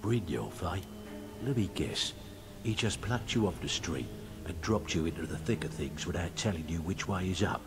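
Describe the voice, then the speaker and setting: A man playing a shrewd, gruff middle-aged thief in a fantasy game, talking bluntly and colloquially. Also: low voice, expressive, normal speed, music in the background. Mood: knowing, stern. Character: gruff, shrewd middle-aged male thieves' guild fixer. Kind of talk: fantasy video game dialogue acting. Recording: noisy game capture with ambience.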